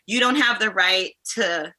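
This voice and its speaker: A woman in her forties from the Caribbean, chatting as a podcast guest in a rambling, animated way. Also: medium pitch, measured pace, low-quality recording. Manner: rambling, animated, acts out other voices in her stories. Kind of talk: casual podcast conversation.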